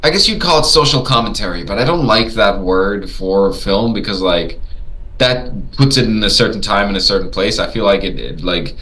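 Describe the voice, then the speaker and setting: A man's voice: moderate pace, low-quality recording. A man from Tanzania co-hosting a casual movie discussion, hesitant and repeating words as he thinks. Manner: hesitant, repeats words, lively rises in pitch. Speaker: podcast co-host.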